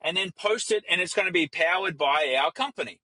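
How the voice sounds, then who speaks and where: An Australian man with an Australian accent, talking as a podcast guest in a quick, energetic way and slipping into the voices of people he quotes. His voice sits a little high and rings bright and forward. The sound is dry and close, with no room in it. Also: dry recording, rough recording. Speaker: guest, business founder. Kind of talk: casual podcast interview.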